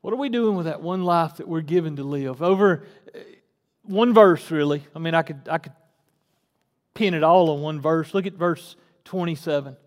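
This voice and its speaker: Folksy pastor preaching, high voice. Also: male voice, moderate pace, big-room sound. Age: 40s. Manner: folksy and conversational, rising to fervent emphasis. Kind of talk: casual sermon. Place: church sanctuary.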